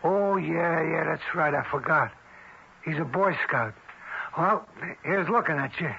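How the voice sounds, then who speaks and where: Radio drama mobster: American, streetwise, threatening, higher-pitched male voice on a dull vintage recording. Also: a little slow, creaky, a little hiss or hum. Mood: businesslike, wry. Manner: streetwise slangy talk, bossy and threatening. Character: menacing Italian-American mobster, male fugitive, slangy and bossy. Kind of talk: old-time radio drama acting. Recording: muffled vintage radio broadcast.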